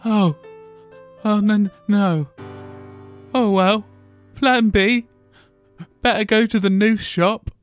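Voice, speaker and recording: A man's voice: high voice, steady tone, takes it slowly, pauses often, soft background music. A man playing a timid, lovelorn skinny suitor with a heavy stammer in a comic radio advert. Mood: dejected. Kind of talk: comedic radio advert sketch.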